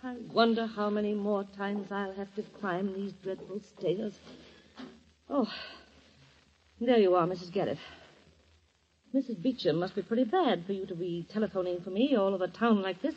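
An actress playing a sharp-tongued, scheming woman who snaps at her family and coos sweetly to her rich aunt, in an old radio play. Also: medium pitch, moderate pace, a little hiss or hum, lightly acted. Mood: impatient. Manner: cutting, sarcastic, then honeyed. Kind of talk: 1940s radio acting. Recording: dull old radio recording.

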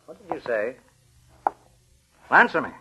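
A man playing a menacing intruder in a radio suspense drama, speaking with formal mock courtesy and hesitant pauses. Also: mid-range voice, sing-song, sharp tone, husky, full character voice, poor audio. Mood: controlling. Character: menacing, mannered, scarred male intruder posing as guest. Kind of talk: old-time radio suspense drama acting.

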